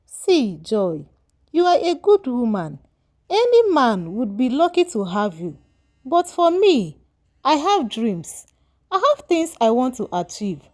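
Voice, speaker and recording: A woman's voice: high voice, very animated, slow pace, a little mellow, exaggerated voice. A woman voicing a troubled, ambitious husband in a storybook tale, earnest and emphatic. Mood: apologetic, firm. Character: troubled, ambitious husband, hesitant but self-serving. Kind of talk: storybook dialogue.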